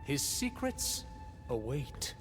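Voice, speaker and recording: A man's voice: medium pitch, big pitch swings, takes it slowly. A man playing a pompous, imperious leader in a video game, with a grandiose, commanding and theatrical delivery. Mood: grand, reverent. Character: pompous, imperious Quen leader claiming to be chosen heir. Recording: loud music behind.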